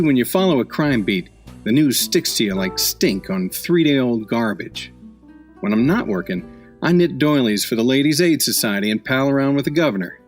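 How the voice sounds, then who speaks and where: A hard-boiled crime reporter from a noir radio play, acted by a man, with a mid-pitched voice over faint music. He is cynical and wry, firing off clipped tough-guy slang like a seasoned newsman who has seen it all. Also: natural voice. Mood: wry, world-weary.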